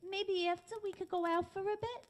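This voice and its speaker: A woman's voice: extremely high pitch, steady tone, brisk. A woman playing a brash, foul-mouthed, bossy broad with slangy tough talk in an old-time radio comedy style. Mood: sweetly brassy. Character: brash, foul-mouthed woman sweetly bossing her monstrous lover. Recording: noisy recording.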